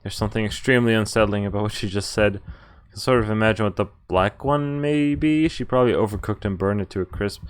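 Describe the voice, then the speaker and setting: A woman's voice: very deep voice, fast, clean tone. A woman streamer reading visual novel text aloud expressively, dropping in casual, sweary asides of her own. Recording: game music behind the voice. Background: visual novel background music.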